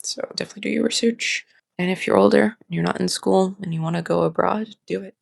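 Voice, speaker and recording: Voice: medium pitch, fairly even intonation, fast talker, gravelly, no room sound. A young adult vlogger with a slightly nasal voice, rambling candidly and informally and often repeating and restarting phrases. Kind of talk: casual rambling personal vlog.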